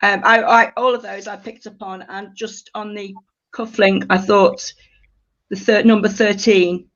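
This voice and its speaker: A woman's voice: medium pitch, level tone, a little slow. A woman leading a creative writing workshop, giving polite, slightly hesitant instructions to her group in a conversational way. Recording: dull lo-fi audio.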